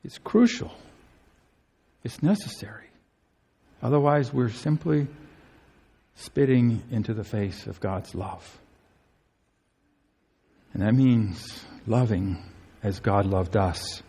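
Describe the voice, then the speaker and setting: A male pastor preaching an earnest sermon, his voice climbing high to stress key phrases. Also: takes it slowly, long pauses, mellow, breathy edge. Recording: long hall reverb.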